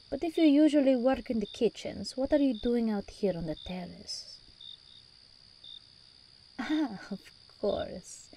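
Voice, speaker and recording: A woman's voice: on the high side, dark tone, slight background noise. A woman playing a regal, seductive demon princess in an ASMR roleplay, theatrical and teasing, commanding yet tender with her endearments.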